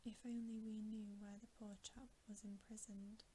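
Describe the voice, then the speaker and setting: A woman voicing a decent, cautious older brother in a storybook, whispering in an earnest, measured way. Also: mid-range voice, little pitch movement, mellow, close to an everyday voice. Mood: earnest, worried. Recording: faint background hiss.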